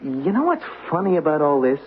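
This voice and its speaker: An actor playing a loyal, trusting American employee in an old-time radio drama, casual, earnest and puzzled. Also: male voice, a little high, big pitch swings, fairly quick, slight background noise. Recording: muffled old radio recording.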